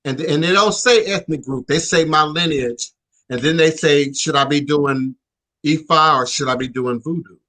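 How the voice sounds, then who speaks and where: A man's voice: fairly high pitch, moderate pace, sharp tone. A man hosting a radio show, talking reflectively in casual chat with frequent stammered restarts and "you know".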